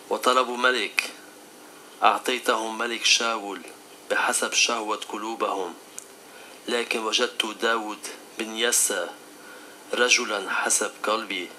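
Casting: male performer as stern divine father in a devotional skit, Levantine accent, piercingly bright tone, solemn counsel, faint noise behind. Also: on the low side, voice pushed down. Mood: solemn, reassuring. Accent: Levantine Arabic. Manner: solemn, admonishing.

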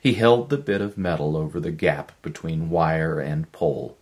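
A man's voice: rumbling low, moderate pace, darkish tone. A man, reading a story as dramatic scripted narration. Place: small living room with a stove.

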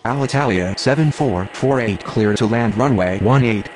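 An actor playing a businesslike air traffic radio speaker in a game, formal and procedural, in clipped aviation phraseology. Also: male voice, medium pitch, moderate pace, natural voice. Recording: faint vehicle and ambient noise.

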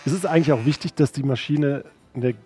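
A man's voice: fairly high pitch, brisk, dark tone. A man in his thirties presenting and interviewing at an event, speaking into a headset mic in a sports hall. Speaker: host and interviewer. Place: large indoor sports hall.

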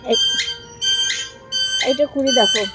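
A woman's voice: a little slow, over loud music. A woman chatting casually and effusively to viewers as she vlogs in her home garden, often repeating herself. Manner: effusive, repetitive, showing things to viewers. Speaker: vlogger and host of own page.

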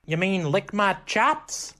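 Woman acting as young band musician, medium pitch, blunt, slangy, radio comedy. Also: big pitch swings, takes it slowly, a bit bright. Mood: blunt, resigned. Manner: blunt, matter-of-fact.

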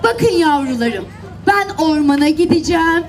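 A woman voicing a caring, cautious storybook mother goat who gives deliberate warnings to her kids. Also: high voice, animated. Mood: cautioning. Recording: outdoor event with crowd and background noise.

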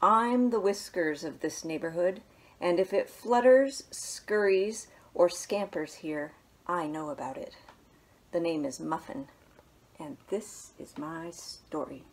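A woman in her thirties reads a picture book aloud in a small living room, recorded on a camera in front of her. Her voice sits a little low and she takes her time, gentle, with hushed asides. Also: takes it slowly, slight background noise. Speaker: children's librarian.

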